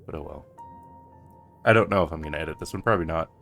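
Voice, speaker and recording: A low, soft-spoken game streamer talks casually through play. The asides ramble hesitantly, with faint music underneath. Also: fast, creaky. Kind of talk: soft casual gameplay commentary.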